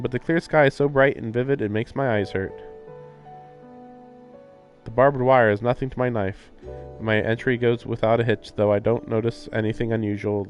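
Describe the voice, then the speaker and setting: A man livestreaming a visual novel, casually reading narration aloud and adding sardonic, incredulous asides. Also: medium pitch. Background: game music.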